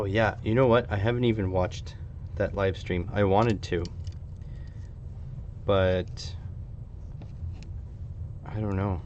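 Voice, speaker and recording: A Canadian man with a fairly low voice talks casually to his live stream while he works. He rambles and thinks aloud. The recording is a little dull, with faint noise in the background. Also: a little mellow. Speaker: hobbyist electronics streamer.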